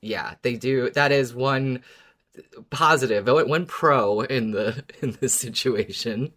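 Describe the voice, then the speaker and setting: A podcast panelist with a mid-range voice chats in a relaxed, conversational style. The delivery is wry and lightly joking, as in talk among fellow fans. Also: medium pitch, slow pace. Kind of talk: casual chat discussion.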